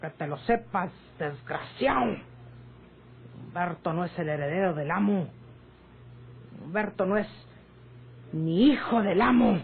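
A woman with a northern Mexican accent playing a proud, sharp-tongued, poor servant in a radio drama. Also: lowish voice, animated, unhurried, pauses often. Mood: defiant. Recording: noisy, tinny old radio recording.

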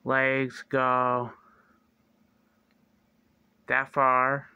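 A young man casually reviewing a toy figure in his bedroom, talking slowly and haltingly with fillers and restarts, close to a phone or webcam. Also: medium pitch, monotone, bright, forceful tone, smooth, dry recording, lo-fi sound. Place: small bedroom indoors. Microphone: phone or webcam close to the face.

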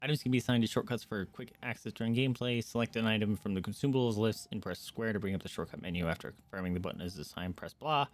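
A man giving casual gameplay commentary as a streamer, muttering to himself as he reads on-screen text aloud. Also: fairly low pitch. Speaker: streamer playing a video game. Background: video game music.

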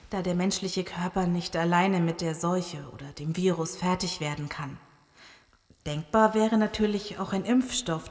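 A woman in a German audio drama playing a calm, analytical medical expert, explaining in a matter-of-fact way. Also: fairly low pitch, close to an everyday voice. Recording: long hall reverb.

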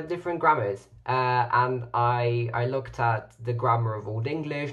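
A young man from Ireland, a native Irish speaker, giving casual advice to camera as a student in his bedroom. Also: medium pitch. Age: young adult. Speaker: student society officer. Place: bedroom with bookshelves, indoors.

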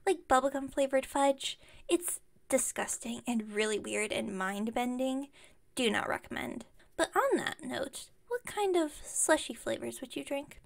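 A woman voices a bubbly young witch who runs a magic shop in an ASMR audio roleplay. Her voice is high with plenty of vocal fry. She rambles on eagerly and amiably, speaking straight to the listener as a customer. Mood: chatty, eager. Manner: chatty, rambling, enthusiastic. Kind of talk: ASMR roleplay, one-sided conversation with listener.

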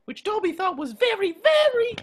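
A woman's voice: very high-pitched, very animated, normal speed. A woman voicing a small, devoted male house-elf who speaks earnestly of himself in the third person. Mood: earnest, eager. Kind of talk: amateur table read of a film screenplay. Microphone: video call. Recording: faint noise on a video call.

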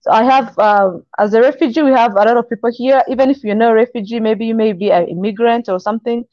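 A young woman from Congo, not an English speaker, speaking earnestly and encouragingly in halting phrases on a video call from a kitchen. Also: fairly high pitch, fairly even intonation, no room sound. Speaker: guest. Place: kitchen indoors. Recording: dull, lo-fi call audio.

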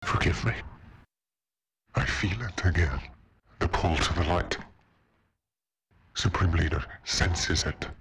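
A brooding young game villain, intense and conflicted. His voice is low and very husky, rough around every word. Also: takes it slowly, pauses often, a bit bright, full character voice. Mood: tormented, resolute. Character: brooding, conflicted young male dark-side warrior in a mask. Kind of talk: cinematic villain acting in game cutscene.

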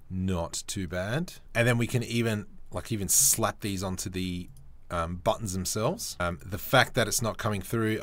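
Chatty low-voiced man thinking aloud. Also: no room sound. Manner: chatty, self-mocking, thinks aloud while working. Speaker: 3D modeling tutorial host. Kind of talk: casual screen-recorded software tutorial.

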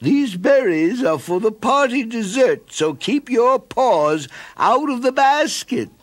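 A man voicing a mother bear who firmly warns her cub, in a raised, high voice. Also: very animated, slow, full character voice. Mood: warning. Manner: firm, warning.

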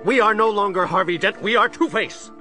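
A man's voice: high voice, a little fast, bright, forceful tone, faint music behind. An actor playing a menacing, theatrical crime boss with a split personality in an audio drama, gloating as he talks. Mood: raging. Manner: theatrical, gloating, speaks of himself as "we".

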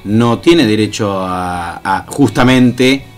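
A man's voice: fairly low pitch, takes it slowly. Argentine man chatting over instrumental music. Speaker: radio show participant. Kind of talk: casual radio discussion. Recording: instrumental music playing behind the voice.

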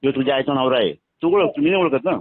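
A man with a Maharashtrian Marathi accent talks rapidly over a narrow phone line. He is curt and evasive, with a menacing edge. The sound is dry and thin, like a recorded call. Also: medium pitch, no room sound. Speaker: caller. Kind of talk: confrontational phone conversation. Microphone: telephone.

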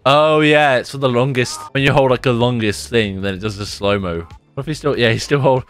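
A man in his twenties, chatting casually over gameplay in his bedroom, his voice a little deeper and rough after a sore throat. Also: a little fast, soft background music. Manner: chatty, rambling, says "like" and "dude" a lot. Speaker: gaming YouTuber. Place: bedroom at home, indoors.

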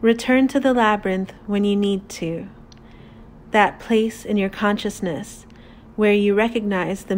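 A woman leads a guided meditation at an extremely slow pace, leaving long pauses between instructions. Her mid-pitched voice is calm, deliberate and soothing. There is faint ambient noise behind her.

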